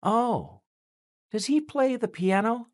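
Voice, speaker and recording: A woman's voice: a little high, lively intonation, takes it slowly, a little mellow, dry recording, clean studio sound. A woman voicing a curious conversation partner in a scripted English lesson dialogue.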